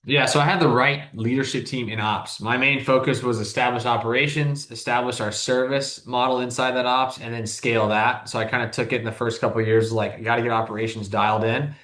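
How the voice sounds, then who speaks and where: A man's voice: medium pitch, fairly even intonation, brisk, few pauses, a bit bright, reverberant. A man in his thirties, a business owner talking as an interview guest in a rambling, casual way, full of restarts and "like". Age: 30s. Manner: rambling, heavy use of "like", frequent restarts.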